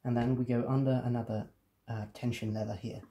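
Mellow-voiced man giving a tutorial. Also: medium pitch, flat delivery, fairly quick. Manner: step-by-step explaining. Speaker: tutorial presenter. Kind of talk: casual hands-on demonstration.

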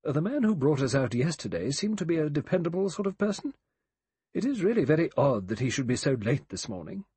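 A man's voice: a little high, big pitch swings, brisk, warm tone, breathy edge, no echo, voice pushed up, heavily acted. A man voicing a righteous elderly English spinster in an audiobook, precise, dry and sanctimonious in manner. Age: older.

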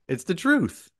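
A man's voice: fairly bright tone, no echo, clean studio sound. An American man co-hosting a podcast, talking casually and giving his opinions with exasperated emphasis. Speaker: podcast co-host.

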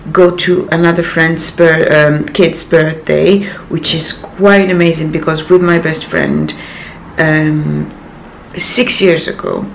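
A woman in her thirties, croaky from little sleep, chatting casually to a handheld camera in her small apartment, rambling with many fillers. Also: fairly low pitch, slight background noise, rough recording. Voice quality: gravelly. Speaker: vlogger. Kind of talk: casual vlog talk to camera. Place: small apartment bedroom, indoors. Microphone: handheld camera at arm's length.

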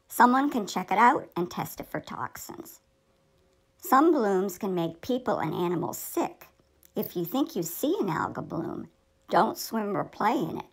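A woman's voice: medium pitch, gravelly, low-quality recording. A woman playing a knowledgeable fifth-grade girl who explains things earnestly in a scripted puppet show. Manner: explanatory, instructive.

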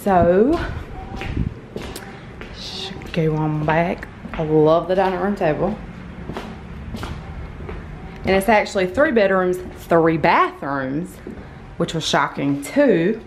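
Female vlogger, middle-aged, informal and unhurried, filming a home walkthrough on handheld camera with slight background noise. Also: on the low side, expressive, rough recording. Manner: chatty, informal. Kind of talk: casual house tour vlog. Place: inside a furnished house, walking between rooms. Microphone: handheld camera, held by the speaker. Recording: handheld camera audio with faint room noise.

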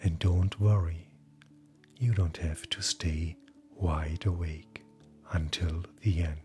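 A man speaking as a hypnotherapist, guiding sleep hypnosis in a calm, gentle, breathy voice that often nears a whisper. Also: low-pitched, slow pace. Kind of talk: guided hypnosis, soothing scripted narration. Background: soft background music.

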